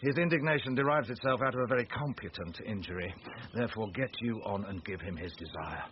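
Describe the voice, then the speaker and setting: An actor playing a boisterous, scheming knight in a Shakespearean radio comedy, blustering with mock-solemn theatrical delivery. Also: male voice, fairly low pitch, fast talker, natural voice. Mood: menacing, mock-solemn. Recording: dull lo-fi radio recording, noisy.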